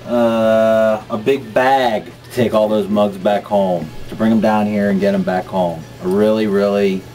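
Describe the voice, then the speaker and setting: Deadpan low-voiced man, hesitant. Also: unhurried, faint music behind. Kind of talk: casual mock-serious advice. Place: small office study carrel, seated back. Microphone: handheld camera at short distance. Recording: slightly noisy camera audio.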